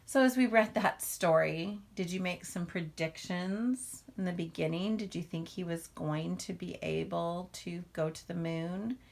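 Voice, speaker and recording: A woman's voice: on the low side, a little slow, creaky, hardly any pauses. A woman giving a children's read-aloud lesson as a teacher, explaining things informally.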